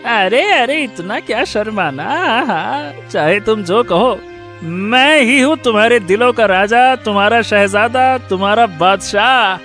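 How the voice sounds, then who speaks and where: A man plays a leering village thug from a cartoon story, pressing his unwanted courtship. He talks slowly, with smug, taunting swagger. Music sits behind the voice. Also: high voice, animated, bright, forceful tone, exaggerated voice. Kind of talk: dramatized Hindi cartoon story.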